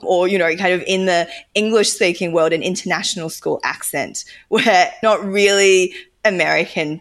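A woman talking thoughtfully in a casual discussion, often hedging with "kind of". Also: low voice. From Australia.

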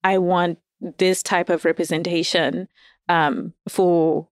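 A woman's voice: lowish voice, little pitch movement, takes it slowly, smooth, no echo, studio quality. A woman from Zimbabwe chatting candidly as a podcast guest, laughing often and hedging her opinions. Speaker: guest writer. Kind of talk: casual podcast chat.

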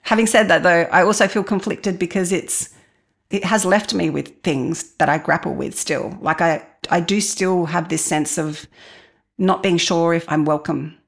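A woman's voice: fairly low pitch, steady tone, moderate pace, vocal fry. A woman in her forties telling personal stories as an interview guest, reflective and candid in a conversational way. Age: 40s.

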